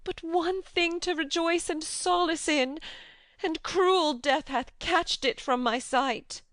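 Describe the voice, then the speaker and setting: A woman's voice: extremely high pitch, takes it slowly, a bit bright, no room sound, exaggerated voice. A woman playing an anguished noblewoman mourning her child in a dramatic Shakespeare audiobook, with theatrical, emphatic lamenting. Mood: anguished grief.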